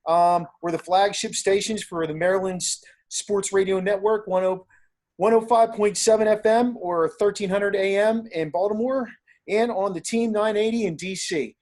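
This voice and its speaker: A man's voice: high-pitched, steady tone, normal speed, darkish tone. An American man hosting a sports podcast, speaking in a casual interview style.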